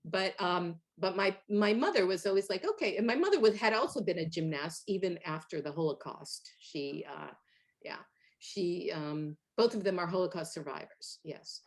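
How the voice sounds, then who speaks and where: Middle-aged woman, low voice, chatty interview guest, laughs often, from Hungary. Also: moderate pace. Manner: animated storytelling with frequent fillers and laughs. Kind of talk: conversational interview.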